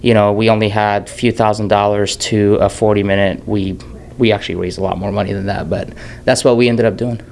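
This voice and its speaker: Man, from Jordan, 30s, low voice, candid rambling interview talk, faint hum behind. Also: flat delivery, a little fast, gravelly, darkish tone. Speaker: filmmaker guest.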